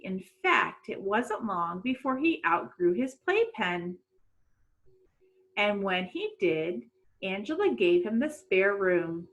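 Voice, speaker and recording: A middle-aged woman, a teacher doing an expressive storytime for children and putting questions to young listeners. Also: mid-range voice, highs cut off. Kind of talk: read-aloud storytime for children. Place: small room at home.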